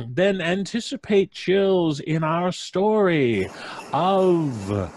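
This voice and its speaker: A man acts out a menacing old-time radio horror host. He draws out his warnings theatrically, with big swoops in pitch and sinister chuckling. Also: expressive, extremely slow, darkish tone, no room sound, exaggerated voice. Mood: ominous. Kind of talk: 1930s radio horror introduction.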